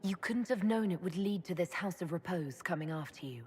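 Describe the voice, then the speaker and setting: Composed lower-voiced heroine, played by a woman. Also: animated, fairly quick, a little hiss or hum, natural voice. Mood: reassuring. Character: composed, diplomatic heroic female leader. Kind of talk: video game cutscene acting.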